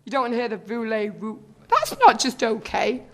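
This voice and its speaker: A woman in her thirties, a talent show contestant, talking without pause, begging and teasing the judges. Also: on the high side, big pitch swings, a bit bright. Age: 30s. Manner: nonstop chatter, begging and teasing. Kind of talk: pleading audition banter.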